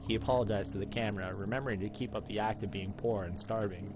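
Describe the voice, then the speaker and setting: A man hosting a gaming playthrough, reading a visual novel aloud in a measured storytelling way and lightly acting out dialogue. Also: fairly low pitch, steady tone, over music. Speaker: gaming video host.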